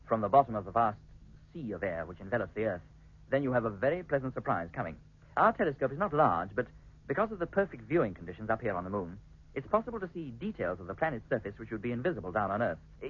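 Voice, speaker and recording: Muffled vintage radio serial: British spaceship captain, fast-talking, formal, courteous and sure of himself. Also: male voice, mid-range voice, big pitch swings, husky. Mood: assured, courteous. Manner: polite, formal, measured assurance. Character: courteous, confident male space expedition captain. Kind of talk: 1950s British radio drama acting. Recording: muffled, band-limited 1950s radio recording.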